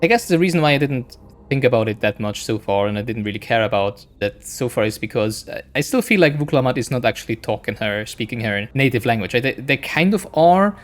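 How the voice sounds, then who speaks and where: A man's voice: mid-range voice, expressive, fairly quick. A man streaming a game, chatting in a rambling casual way; English is not his first language. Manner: rambling, chatty, keeps adding "right?". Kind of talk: casual let's-play commentary.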